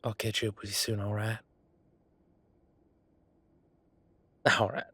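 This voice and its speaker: A man playing an affectionate, easygoing werewolf bodyguard in an intimate audio roleplay, talking in a casual, folksy, halting way. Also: on the low side, level tone, sharp tone, husky, no room sound. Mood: flirty, teasing.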